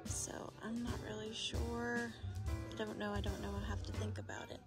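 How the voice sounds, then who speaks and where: A woman's voice: medium pitch, level tone, moderate pace. A woman, chatting casually and thinking aloud as she films a shop-along on a handheld phone. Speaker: vlogger and antiques reseller. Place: large indoor antique mall. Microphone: handheld phone held near her. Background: loud store music.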